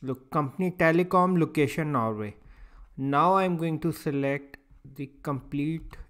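A man instructing step by step in a screencast tutorial, speaking in halting, piecemeal phrases and often checking in with an okay. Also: fairly high pitch, a bit bright. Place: voice-over for a screen recording.

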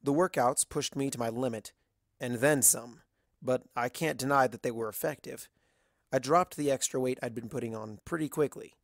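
A narrator reads a scripted story at a medium pitch. The sound is dry, with very little room in it. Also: normal speed, gravelly, no room sound. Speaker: fan fiction narrator.

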